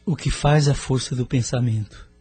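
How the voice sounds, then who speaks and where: A man's voice: mid-range voice, fairly even intonation, moderate pace, dark tone, breathy edge, soft background music, close to an everyday voice. An actor playing a courteous, inquisitive man from Earth in an audio drama, with formal, scripted phrasing. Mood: curious.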